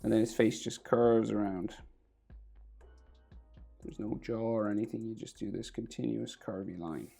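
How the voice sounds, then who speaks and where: A man with a sore throat and a crackly, gravelly voice, talking casually through a drawing tutorial, often trailing off to a near whisper. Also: fairly low pitch, steady tone, normal speed, long pauses, warm tone, faint music behind.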